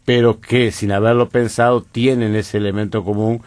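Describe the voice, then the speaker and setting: Uruguayan man, radio guest, low and dry, measured and reflective, soft-spoken studio talk. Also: flat delivery, no room sound. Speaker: guest poet. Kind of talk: radio interview conversation.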